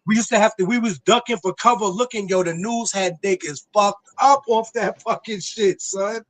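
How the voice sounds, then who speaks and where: A man's voice: high voice, fairly even intonation, normal speed, a bit bright, no room sound. A man in his late thirties, co-hosting a casual chat, who talks in profane slang, repeats words and keeps saying yo. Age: 30s. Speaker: podcast co-host.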